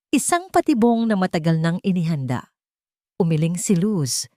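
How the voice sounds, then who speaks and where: A woman with a Filipino accent narrates a dramatic audiobook. Her voice sits on the low side. The recording is dry and close, with no room sound. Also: darkish tone, dry recording. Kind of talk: dramatic scripted story narration.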